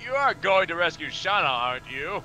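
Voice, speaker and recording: A man hamming up a lecherous, animated old villager. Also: a little high, brisk, over music, voice pushed down. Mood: nagging, pompous.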